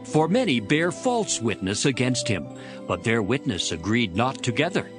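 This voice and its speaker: A man's voice: mid-range voice, very animated, normal speed, over music. A man narrating dramatized scripture as a storyteller between the character parts.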